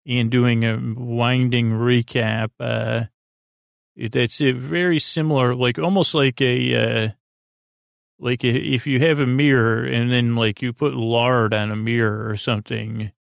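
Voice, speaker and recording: Man, gravelly creak, soothing sleepy rambler, hesitant phrasing, bedtime podcast host, close dry sound. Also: mid-range voice, steady tone, a little slow, no echo. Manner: meandering, hesitant, stop-start.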